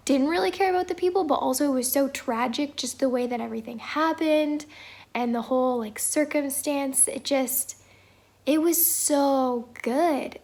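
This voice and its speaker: Home vlogger, thirties woman, hoarse from a cold, high voice, hesitant and rambling. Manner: rambling and hesitant, many fillers. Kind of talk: casual chat.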